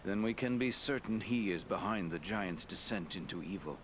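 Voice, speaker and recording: A wise and courteous lord from a fantasy game. His voice sits fairly low, with formal, measured phrasing and a grave manner. There is faint rumbling behind it. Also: expressive, slight background noise. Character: wise, courteous male lord counselling young heroes. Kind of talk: dramatic fantasy game acting.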